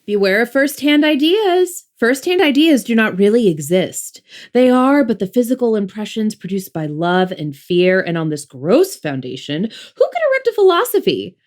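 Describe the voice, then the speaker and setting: A woman's voice: fairly high pitch, sing-song, moderate pace. Lofty, dogmatic woman, played by an actress. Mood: lofty, preaching. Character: dogmatic, dismissive mother devoted to the machine. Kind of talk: dramatic audiobook dialogue and oratory.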